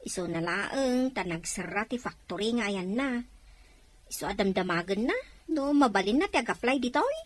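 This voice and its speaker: A woman's voice: mid-range voice, animated, moderate pace, slight background noise, lightly acted. A woman playing a polite, hopeful farm employee in an Ilocano audio drama. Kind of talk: Filipino radio-drama dialogue in Ilocano.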